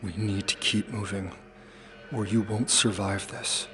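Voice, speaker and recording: Sci-fi audio drama rebel, male actor: brooding, hushed, unhurried delivery, narrow intonation. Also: on the low side, level tone, soft background music. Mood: urgent, questioning. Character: tense young man, human rebel guiding fugitive androids.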